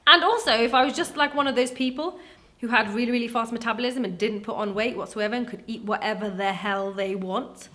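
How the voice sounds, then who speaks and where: A woman's voice: a little high, a little fast. A young woman from Wales, chatting casually through a question and answer vlog. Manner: chatty, animated, acts out voices in her stories. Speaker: vlogger. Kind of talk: casual Q&A chat.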